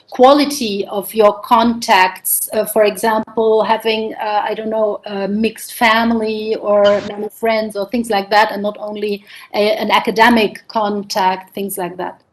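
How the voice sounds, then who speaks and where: A woman's voice: mid-range voice, steady tone, unhurried, few pauses, rough recording. German-native woman asking a conference question. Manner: thoughtful, hesitant, polite. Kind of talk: academic Q&A.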